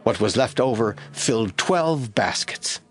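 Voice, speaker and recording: A man reading scripted Bible narration as an expressive storyteller for an audio drama. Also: mid-range voice, measured pace, faint music behind.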